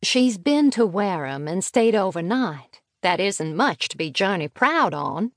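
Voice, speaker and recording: A woman voicing a brisk, strict country mother in a storybook, plain-spoken, admonishing and sardonic. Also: mid-range voice, big pitch swings, no room sound, studio quality.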